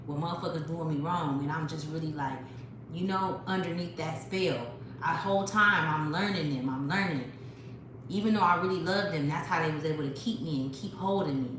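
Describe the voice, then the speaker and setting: Low-voiced woman preaching on a livestream. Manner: profane, preachy, addresses viewers directly. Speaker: livestream host. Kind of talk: spiritual livestream talk. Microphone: phone. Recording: very echoey room with noise.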